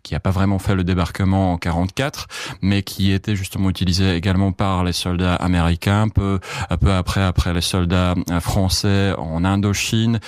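A man with a deep, smooth voice and a Czech accent, recorded close and dry. He speaks as a correspondent being interviewed, recounting things casually. His delivery halts and circles back on words as he looks for the right one. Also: little pitch movement, a little mellow, no echo. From Czech Republic, Prague. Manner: halting, searching for words, repeats himself.

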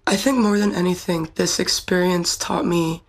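A woman's voice: lowish voice, fairly even intonation, normal speed. A young woman from the Czech Republic, a pageant winner answering interview questions in a thoughtful, earnest way. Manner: thoughtful, earnest, fluent English speaker.

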